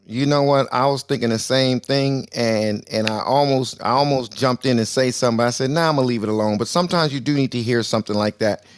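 A man's voice: mid-range voice, brisk, hardly any pauses, smooth, no room sound, studio quality. A man hosting a casual live call-in discussion, playful and self-mocking, laughing easily as he passes the mic to callers. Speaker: host. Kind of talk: casual live audio-room chat.

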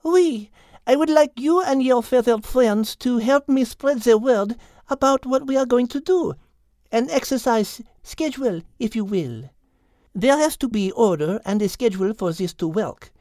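Lady doing a prim little french kitty for a kids story, high voice, very dry sound. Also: moderate pace, warm tone, no echo, natural voice. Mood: earnest, organizing. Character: dainty, orderly, clever female French cat. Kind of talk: character acting for a children's story podcast.

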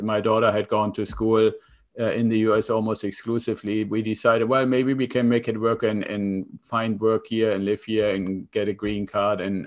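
A man in his fifties from Germany with a German accent, a guest recounting his career in a relaxed, reflective way. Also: mid-range voice. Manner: relaxed, reflective storytelling with frequent fillers. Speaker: guest, consultant and coach. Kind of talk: conversational interview.

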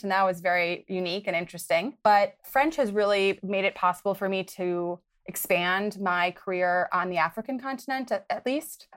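A young adult woman from Wisconsin, a podcast guest speaking in an earnest, conversational way and giving advice. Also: medium pitch. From Wisconsin, United States. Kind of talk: conversational interview.

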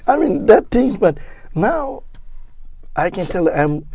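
A man in his fifties from Turkey, speaking English with a Turkish accent, talking casually in an interview in halting, broken phrases. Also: high voice, sing-song, warm tone. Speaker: guest, security guard.

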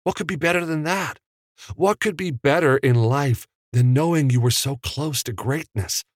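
A man's voice: fairly high pitch, moderate pace, dry recording, studio quality, pitched above the performer's own voice, natural voice. A man doing an awestruck young movie fan. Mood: awed. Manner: eager, excited, breathless with wonder.

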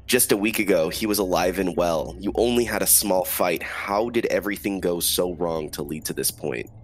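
A man with a cold, running a tabletop game as dungeon master and narrating the scene in a slow, solemn way. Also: deep voice. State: has a cold.

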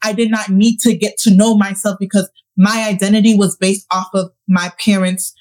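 Woman in her late 20s cohosting a podcast, earnest, thinks out loud in long rambly thoughts, medium pitch. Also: level tone, moderate pace. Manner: earnest, reflective, talks in long run-on thoughts. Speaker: podcast co-host. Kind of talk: casual chat.

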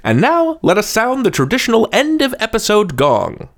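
A man's voice: high voice, wildly swooping pitch, normal speed, a bit bright. A man hosting a podcast, reading a scripted outro and credits with playful asides. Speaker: podcast host.

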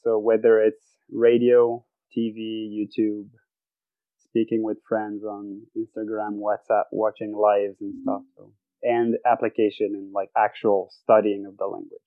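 Flat-voiced man on a tinny line. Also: lowish voice, measured pace, dry recording. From Quebec, Canada. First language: French. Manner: thoughtful, searching for words. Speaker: guest athlete. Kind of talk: casual podcast interview. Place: home bedroom.

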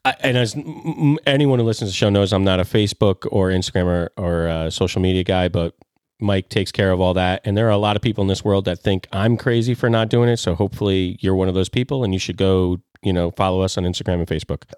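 A man's voice: sits low, fairly even intonation, quick pace, a little mellow, smooth, dry recording. A man co-hosting a podcast, dropping dry, joking asides. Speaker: podcast co-host. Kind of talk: casual podcast chat.

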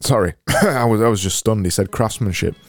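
Solo podcast host, man with a Barnsley accent, deep voice, deadpan mockery. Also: a little fast, no echo, studio quality. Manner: sarcastic, deadpan self-mocking. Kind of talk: solo comedic podcast monologue.

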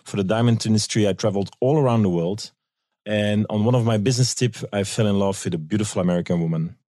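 Low-voiced Belgian man, mellow storyteller. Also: fast, no room sound, clean studio sound. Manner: anecdotal, acts out quoted dialogue. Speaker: guest, tour guide and business owner. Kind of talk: conversational interview storytelling.

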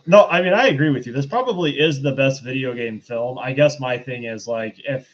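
Dude on a podcast, casual review talk, bit muffled lo-fi mic. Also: male voice, medium pitch, a little fast, slight background noise. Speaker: podcast panelist. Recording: slightly dull, lo-fi microphone sound.

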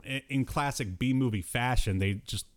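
A man's voice: medium pitch, normal speed. A man co-hosting a podcast, chatting casually about cartoons in a rambling way with bits of slangy talk. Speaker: podcast co-host.

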